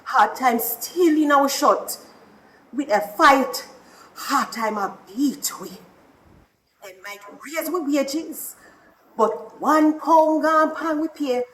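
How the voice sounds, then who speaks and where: A woman's voice: high voice, slow pace, fairly bright tone, poor audio. A woman with a Jamaican accent performing a rhythmic, dramatic recitation of a dialect poem.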